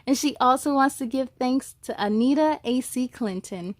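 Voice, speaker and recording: High-pitched, enthusiastic woman reading to kids. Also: moderate pace, no echo, studio quality. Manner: enthusiastic, addresses young listeners directly. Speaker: host of a children's read-aloud story show. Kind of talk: animated children's storybook read-aloud with chatty asides.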